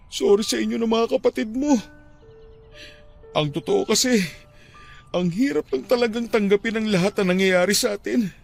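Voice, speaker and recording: An actor playing a tender, heartbroken widowed father in an emotional radio drama, speaking haltingly. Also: a little high, close to an everyday voice. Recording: music under the voice. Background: music.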